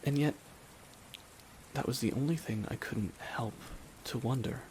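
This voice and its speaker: A man playing a bitter, weary supervillain in a dramatic ASMR audio roleplay, speaking deliberately with long pauses to a dying nemesis. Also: mid-range voice, lightly acted. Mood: wounded, bitter. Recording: steady rain noise behind the voice. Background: rain falling on surfaces.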